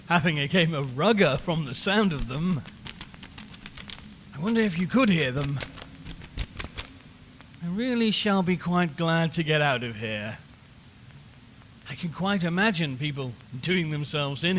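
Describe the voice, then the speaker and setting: A man's voice: on the high side, fairly bright tone. A man playing a nervous, wryly chatty radio reporter giving a live commentary from a haunted house in an audio drama. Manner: chatty, wry, increasingly uneasy. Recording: long reverberant hall, background noise.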